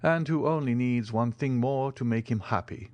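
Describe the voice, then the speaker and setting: A man voicing a cool, composed wealthy count who speaks in a measured way. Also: medium pitch, a little mellow, no room sound, voice pushed up, lightly acted.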